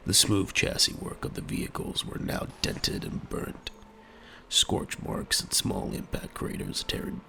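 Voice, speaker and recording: A man's voice: extremely low pitch, gravelly, background noise. A man narrating an audio drama in a dramatic, scripted storytelling style.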